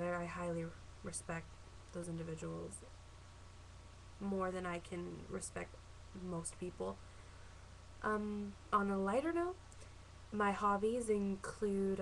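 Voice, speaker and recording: A woman's voice: medium pitch, creaky, a little hiss or hum, poor audio. Chatty twenty-year-old Southern Californian woman. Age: 20s. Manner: chatty and earnest, with frequent ums and self-corrections. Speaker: vlogger. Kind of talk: casual self-introduction to camera.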